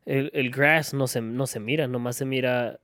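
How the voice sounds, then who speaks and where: A man's voice: mid-range voice, fairly even intonation, a little fast, fairly bright tone, no echo, studio quality. A man chatting casually as a podcast co-host, switching between Spanish and English mid-sentence. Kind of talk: casual bilingual chat.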